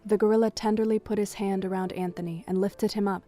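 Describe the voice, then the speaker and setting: A woman's voice: medium pitch, fairly even intonation, fast, dark tone. Woman narrating an audio drama over music.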